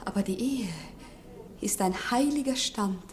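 An actress playing a pious, innocent young bride-to-be with fervent rapture in a 1960s radio drama. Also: female voice, fairly high pitch, measured pace, lightly acted. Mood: pious praying. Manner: fervent, solemn, exclamatory to hushed. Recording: 1960s radio recording, faint hiss.

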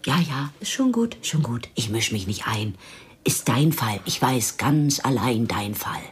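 A woman acting in a radio crime drama as a sardonic, no-nonsense policewoman, terse and dry with a husky voice, in a naturalistic style. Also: very deep voice, animated, a little slow, full character voice. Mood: prodding.

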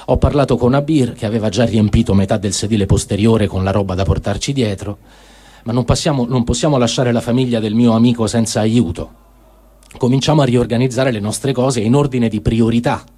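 A man with a husky, mid-pitched voice performs a literary diary reading. He speaks in short, deliberate phrases, measured and dramatic, as a reader on radio. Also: warm tone. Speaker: reader of written testimony on radio.

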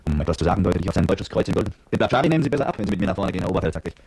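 An actor playing a brooding petrol-station attendant and former soldier, narrating his memories in terse, reflective radio drama style with a gravelly voice. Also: low voice, animated, very fast, a little mellow, dry recording, exaggerated voice. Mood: tense recollection. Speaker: narrator and protagonist. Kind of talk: literary first-person radio drama narration.

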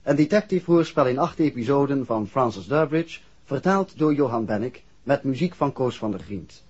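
A muffled 1960s radio recording of a male Dutch announcer reading credits formally. His voice is slightly husky, mid-pitched, with marked rises and falls. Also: animated, normal speed, a little mellow. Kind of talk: formal read cast credits.

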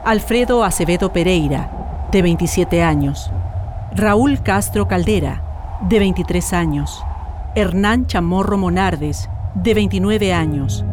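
A woman's voice: on the low side, slow, noisy background. A woman narrating a radio docudrama in a solemn, scripted style. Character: solemn female narrator recounting the dead. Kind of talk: scripted documentary drama narration.

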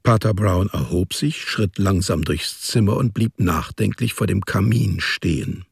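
A man reads scripted narration for a radio play. His voice is deep and rough-edged, in a close, dry sound. The storytelling is measured and understated. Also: no room sound.

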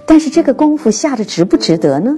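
A woman hosting a programme, narrating scripted commentary in a measured storytelling way. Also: high-pitched, very animated. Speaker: radio programme host. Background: soft instrumental music.

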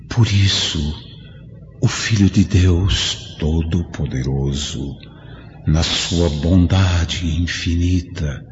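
An actor playing the serene, sorrowful spirit of an old friend, speaking solemn, measured Brazilian Portuguese in a radio drama. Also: male voice, sits low. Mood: serene exalted. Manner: solemn, measured, preaching gently. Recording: dull lo-fi radio drama, faint noise.

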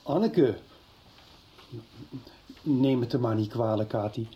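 A reassuring middle-aged friend in a radio soap. His voice is mellow and husky, and he talks calmly and gently. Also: mid-range voice, takes it slowly, muffled recording.

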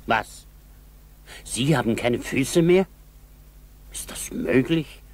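A curt, hearty army doctor with a mid-pitched voice. He fires off questions in a blustering, theatrical sixties radio-drama manner. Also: expressive, takes it slowly. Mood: incredulous. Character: brusque military doctor, incredulous but hearty. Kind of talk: 1960s German radio play acting.

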